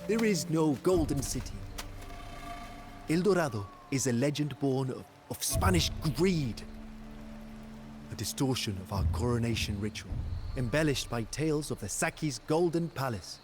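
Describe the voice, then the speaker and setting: A man's voice: mid-range voice, animated, normal speed, natural voice. Man acting solemn, intense war commander over music. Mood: grave, bitter. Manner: solemn, theatrical storytelling, intense. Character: passionate Muisca war commander, grave and devoted lover. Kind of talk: dramatic scripted first-person game cutscene narration.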